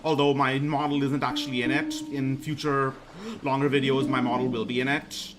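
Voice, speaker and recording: A young man with a baritone voice introducing himself on his debut stream, talking haltingly with lots of ums and restarts. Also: little pitch movement. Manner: hesitant, many ums and restarts. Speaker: VTuber streamer. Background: music playing.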